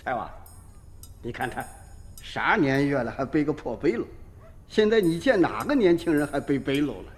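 An actor, shooing people off and mocking. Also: male voice, a little high, sing-song, normal speed, pauses often. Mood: brusque. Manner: brusque, mocking. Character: bossy village head, gruff and scornful. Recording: echoey.

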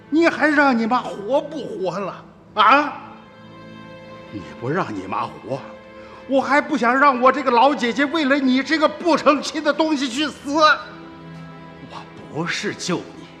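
A man acting a stern, anguished older uncle in a radio drama, speaking emphatically with drawn-out emotion. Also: high voice, sharp tone, full character voice. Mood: anguished, reproachful. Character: stern, anguished older uncle scolding and narrating past events. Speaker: storyteller character in radio drama. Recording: long studio reverb, music underneath.

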